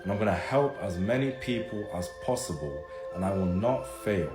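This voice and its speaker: A man's voice: sits low, expressive, moderate pace. A man of Jamaican and Turkish descent living in the UK, urgently warning viewers and repeating his pleas. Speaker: vlogger. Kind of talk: earnest direct-to-camera appeal. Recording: roomy sound with loud music under it.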